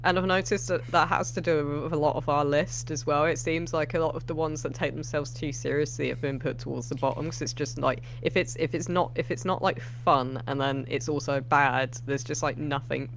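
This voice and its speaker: A man with a slightly high voice chats as a co-host reviewing films. He wanders through his points hesitantly. The recording carries faint noise. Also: steady tone, a little fast, creaky, few pauses, a bit bright. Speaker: co-host of a movie reaction show. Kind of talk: casual group movie review chat.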